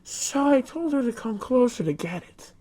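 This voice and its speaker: Killer clown for horror drama: high, dark-toned, mock-innocent, giggly and cruel. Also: female voice, animated, moderate pace. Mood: sly, taunting. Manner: childlike mock-innocent menace, frequent giggling. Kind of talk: horror monologue in a fictional broadcast hijack.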